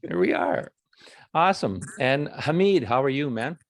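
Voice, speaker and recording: A man's voice: mid-range voice, sing-song, unhurried, no echo. A man hosting a video call chat, easygoing and friendly, asking open questions. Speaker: host. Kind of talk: casual chat.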